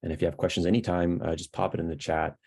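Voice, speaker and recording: Male online host, thirties, dark gravelly voice, casual measured introductions on a video call. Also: low-pitched, level tone. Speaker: host of an online talk series. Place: small room at home, indoors. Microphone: video call, laptop at arm's length.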